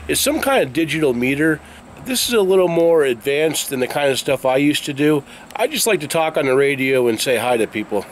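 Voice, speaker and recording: American man, forties, mid-range pitch, casual vlog talk, matter-of-fact, noisy outdoor recording. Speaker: online reseller vlogger. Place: open garage doorway, outdoors. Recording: clear outdoor background noise.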